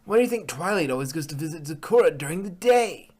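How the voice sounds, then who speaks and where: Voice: mid-range voice, animated, quick pace, darkish tone, breathy edge. A performer voicing a brash, mischievous young pony filly in a story reading. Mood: cocky.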